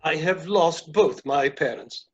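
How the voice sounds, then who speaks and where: A man playing a polite, flustered gentleman suitor in a comic play reading, hesitant, formal and apologetic. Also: fairly high pitch, slow, breathy edge, highs cut off.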